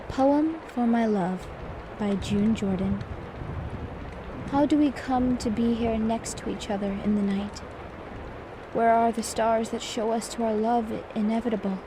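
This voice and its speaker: A woman playing a poised, particular maid servant in an ASMR roleplay, speaking softly in a formal, measured way. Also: on the high side, lightly acted. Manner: soft, formal, measured, prim. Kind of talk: soft-spoken ASMR roleplay with poetry reading. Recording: clear background ambience noise.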